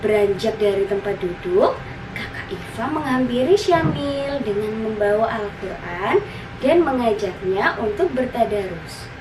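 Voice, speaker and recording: A woman narrating a scripted story for children in an expressive storytelling style. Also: mid-range voice, measured pace. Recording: roomy, distant, noisy recording.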